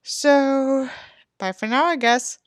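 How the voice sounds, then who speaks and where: A woman's voice: high voice, takes it slowly, dry recording, studio quality. A woman hosting a book reading with casual, sweary commentary and crude, sardonic asides. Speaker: host of a dramatic book reading.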